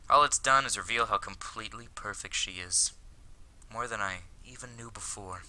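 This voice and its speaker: A man voicing an earnest, lovestruck, self-conscious high school boy from an anime visual novel, in a wordy, dramatic read-aloud delivery. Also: moderate pace, sharp tone, low-quality recording. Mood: adoring.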